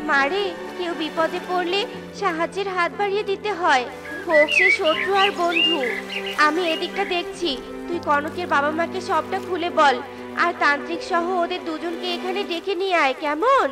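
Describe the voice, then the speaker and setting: A woman's voice: very high voice, normal speed, loud music behind the voice. A woman voicing a caring, brave mother bird in a cartoon, earnest and urgent. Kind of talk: Bengali cartoon voice acting.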